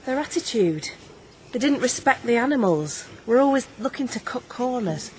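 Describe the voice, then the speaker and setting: A woman playing a young farm worker in a radio soap, with a fairly high, lively voice. She is brisk and exacting, heard over some background noise. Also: natural voice. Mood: guarded, critical. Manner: brisk, matter-of-fact. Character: brisk, exacting female pig farm worker, critical of slack colleagues. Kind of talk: naturalistic British radio soap acting.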